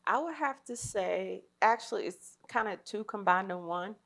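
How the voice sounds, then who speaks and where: A woman guest in a casual podcast chat, speaking in a measured, thoughtful way. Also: medium pitch, animated, gravelly, a bit bright.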